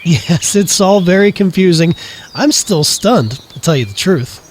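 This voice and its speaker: A man's voice: sits high, expressive, unhurried, darkish tone, a little hiss or hum. An actor playing a modest, bewildered and courteous young king in an audio drama.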